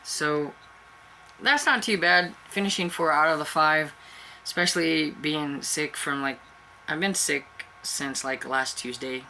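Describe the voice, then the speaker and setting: A woman with a cold, stuffy-nosed and a little raspy, chatting casually to camera as a book channel host and rambling with fillers and restarts. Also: sits low, measured pace, pushed and bright, slight background noise, poor audio.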